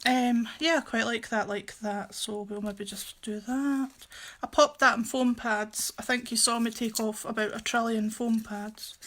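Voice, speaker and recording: A woman's voice: fairly high pitch, a bit bright. A woman with a Scottish accent chatting casually and jokily as she hosts a live craft stream, rambling as she goes.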